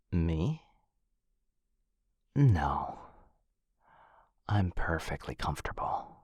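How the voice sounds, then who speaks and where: Casting: male actor as affectionate, nervous young dog-man roommate for boyfriend ASMR; low, soft-spoken and hesitant, very close and dry. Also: breathy edge, no room sound, full character voice. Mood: content, tender. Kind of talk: boyfriend ASMR audio roleplay.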